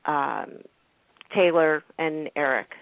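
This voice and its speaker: A woman's voice: low-pitched, slow, vocal fry, dry recording. A woman hosting a radio talk show in a casual, chatty style. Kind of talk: casual chat about TV soaps. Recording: narrow telephone-line radio sound.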